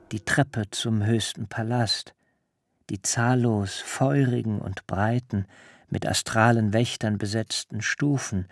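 An actor playing an awed biblical patriarch who recounts a dream vision solemnly in a literary radio drama, with measured, rapt delivery. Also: male voice, fairly low pitch, gravelly.

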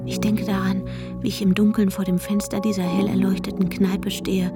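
An actress playing an anxious, obsessive woman in a German radio drama, tense and breathy, often dropping to a whisper. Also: mid-range voice, big pitch swings, normal speed, loud music behind the voice, lightly acted. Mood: brooding, intense. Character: anxious, obsessive, unstable woman narrating her own desperation. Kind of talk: German radio drama acting with inner monologue.

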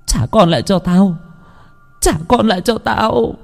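A man's voice: high voice, unhurried, gravelly, darkish tone, pitched above the performer's own voice. Shrieking grieving village mother, as a man puts her on. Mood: frantic. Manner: wailing, shrieking desperately. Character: frantic grieving village mother, older woman.

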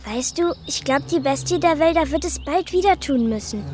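A woman's voice: sits high, expressive, moderate pace. An eerie little girl. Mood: confiding. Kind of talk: radio drama acting.

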